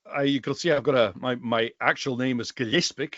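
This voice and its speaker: Middle-aged Highland Scottish man, slightly muffled. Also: steady tone, fairly quick, fairly bright tone, dry recording. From Western Isles of Scotland. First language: Scottish Gaelic. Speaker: guest, bookseller and Gaelic teacher. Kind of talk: conversational interview answers.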